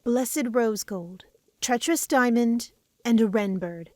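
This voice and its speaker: A woman plays a wise fantasy seer with a slightly high voice. She is patient and measured as she mentors, and the sound is free of any room echo. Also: a little mellow. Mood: gently instructive. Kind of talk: medieval fantasy audio drama acting.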